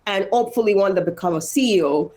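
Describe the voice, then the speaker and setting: Nigerian lady being interviewed, emphatic motivational talk, repeats stuff for stress, medium pitch. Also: quick pace, warm tone. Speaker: interview guest, engineer and foundation founder.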